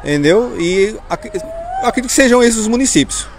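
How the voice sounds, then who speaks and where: A man in his forties with a Brazilian accent, a firefighter officer answering an interviewer haltingly, repeating words as he goes. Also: sits high, expressive. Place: outdoors in front of a fire station. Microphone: small mic held at chest level by interviewer. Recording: outdoor street ambience noise.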